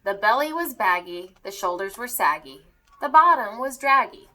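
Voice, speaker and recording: A woman reading a rhyming children's story aloud in an animated, theatrical way. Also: a little high, normal speed. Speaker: teacher reading a picture book aloud to children.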